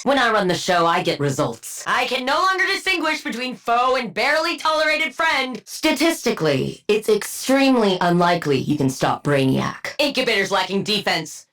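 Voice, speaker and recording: Casting: female game villain, genius scientist; clinical, condescending, sardonic, very animated intonation. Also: medium pitch. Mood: sharp, commanding. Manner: precise, clinical, condescending, dry wit. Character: brilliant, arrogant, sardonic woman supervillain scientist. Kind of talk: video game character voice lines.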